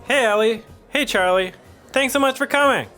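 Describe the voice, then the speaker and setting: A man's voice: very high voice, moderate pace, sharp tone, faint music behind. A man acting a hapless, earnest, quirky groom in a podcast sitcom. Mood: puzzled.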